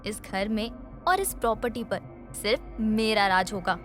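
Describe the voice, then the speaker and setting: A woman's voice: medium pitch, lively intonation, measured pace, a bit bright, faint music behind. A woman playing a scheming, jealous elder sister-in-law who puts on sweetness in a dramatised moral story. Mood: sly, plotting. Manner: sly, sugary before others, plotting when alone.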